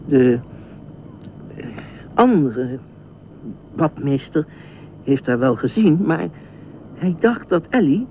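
A grieving official-sounding pool lifeguard in an old radio play, halting n repetitive, thin phone-like sound, noisy rumble. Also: male voice. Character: grieving, dutiful male pool lifeguard, stiffly official and rambling. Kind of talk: Dutch radio drama acting.

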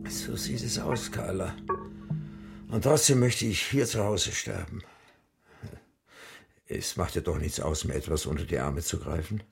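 An actor playing a frail, dying old widower in a radio drama, morbid and pleading, with a husky, gravelly, halting voice. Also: male voice, sits low, faint music behind, heavily acted. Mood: weary pleading. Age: older. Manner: halting, hesitant.

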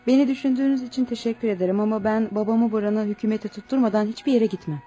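A woman's voice: fairly high pitch, fairly even intonation, rapid-fire, mellow, lo-fi sound, close to an everyday voice. An actress playing a defiant, resolute young village woman orphaned and grieving, in an earnest, declamatory radio-theatre style.

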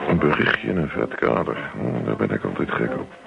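Henpecked husband, evasive grumbler, very animated intonation, radio play, dull lo-fi recording. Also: male voice, extremely low pitch, brisk, creaky, over loud music, heavily acted. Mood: muttering, absorbed. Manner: grumbling, muttering, placating. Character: put-upon evasive husband who just wants peace and his newspaper. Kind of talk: Dutch radio play acting.

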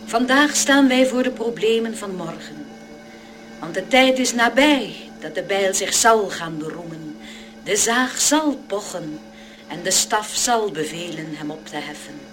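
A woman's voice: medium pitch, lively intonation, a little slow, lightly acted, low-quality recording. A woman playing a solemn, prophetic radio play narrator, declaiming in grave biblical phrasing. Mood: solemn, foreboding. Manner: declamatory, portentous.

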